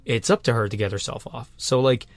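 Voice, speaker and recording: A man with a mid-pitched voice co-hosts a podcast in a relaxed way. He swears casually and chimes in often with agreement. The recording is dry and close-miked. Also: brisk, dry recording. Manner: laid-back, swears casually, agreeing interjections. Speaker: podcast co-host. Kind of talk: casual chat.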